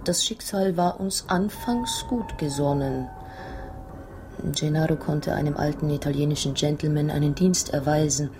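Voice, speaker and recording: An actress in a radio drama playing a young Italian wife who tells her past in a halting, dramatic, emotional way. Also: sits low, noisy background. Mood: wistful, earnest.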